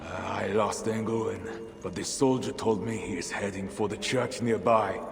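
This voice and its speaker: An actor playing a brash, scornful Viking warrior in a video game, gravelly and bluntly forceful. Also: male voice, fairly low pitch, normal speed, big-room sound, soft background music. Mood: gruff. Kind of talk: dramatic video game acting.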